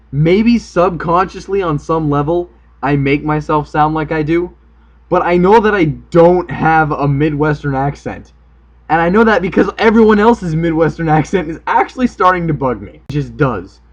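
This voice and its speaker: An American man with a fairly high voice and a subtle Northern accent talks casually, as a video host would, without a script. He tells things in a wry, argumentative, joking way. The recording is somewhat dull and lo-fi. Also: expressive, normal speed. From Florida, United States. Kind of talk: casual unscripted talk to camera.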